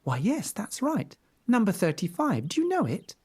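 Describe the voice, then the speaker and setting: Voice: medium pitch, wildly swooping pitch, normal speed, darkish tone, husky, voice pushed up, full character voice. A reader doing a chirpy little girl storyteller figure. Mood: chatty. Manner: eager, chirpy questions. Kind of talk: storybook reading.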